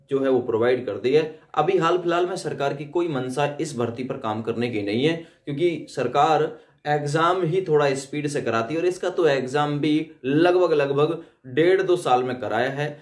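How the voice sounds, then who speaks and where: A man's voice: on the high side, moderate pace, reverberant. A young man explains updates informally to students, repeating himself and adding tag questions. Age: young adult. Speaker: host of exam update videos.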